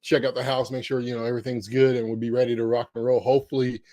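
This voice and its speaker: A man's voice: medium pitch, level tone, quick pace, dark tone, dry recording, studio quality. Chatty upbeat man co-hosting a podcast. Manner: upbeat, chatty, many fillers and restarts. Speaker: podcast co-host. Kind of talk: casual chat. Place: home corner set up for the podcast. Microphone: podcast microphone.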